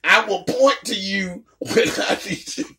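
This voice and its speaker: A young adult woman hosting a casual reaction chat, talking loud and brash, swearing a lot and trash-talking playfully. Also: medium pitch, measured pace. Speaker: host.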